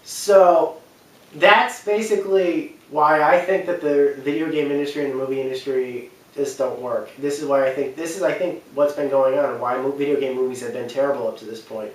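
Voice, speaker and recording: A man with a mid-pitched voice recorded well back from the microphone in a very echoey room. He delivers opinionated, unscripted commentary, often doubling back and repeating himself as he rambles toward his point. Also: fairly even intonation, far from the mic. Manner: halting, repetitive, rambling delivery. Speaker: video commentator.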